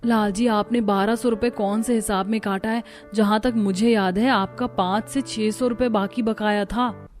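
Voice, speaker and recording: A woman's voice: mid-range voice, steady tone, moderate pace, a little mellow, faint music behind, lightly acted. Earnest young daughter-in-law, voiced by a woman. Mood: polite. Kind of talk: Hindi cartoon story voice acting.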